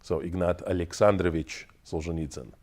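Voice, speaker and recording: A man whose first language is Russian, answering interview questions in a measured, deliberate way, pausing to find his words. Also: sits low, darkish tone. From Russian family, raised in the United States. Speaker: interview guest. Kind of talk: thoughtful conversational answers.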